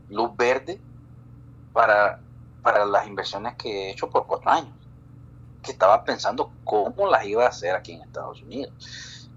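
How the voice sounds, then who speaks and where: A man from El Salvador, a guest in a casual live-stream chat, talking in a halting way with many restarts and fillers. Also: low-pitched, a bit bright, muffled recording, slight background noise.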